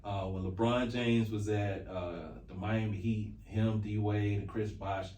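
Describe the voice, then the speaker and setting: A man's voice: fairly low pitch, steady tone, unhurried, a little mellow, slight background noise. A man in his forties from North Carolina with an American accent, chatting casually and emphatically as a podcast co-host about sports. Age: 40s. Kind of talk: casual sports talk, opinionated. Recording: roomy echo, distant from mic.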